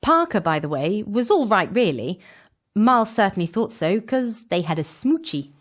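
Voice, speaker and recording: A woman plays a wry, breezy time traveller recapping adventures in an audio drama. Her mid-pitched voice is very animated, moving freely up and down, with chatty asides dropped into the scripted narration. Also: normal speed. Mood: casual, brisk. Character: Jade, breezy, wry young female time-travelling companion.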